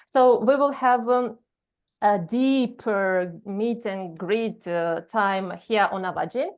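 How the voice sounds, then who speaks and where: A woman hosting an online orientation over a video call, talking casually with many pauses and fillers. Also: medium pitch, a little mellow, clear, resonant tone.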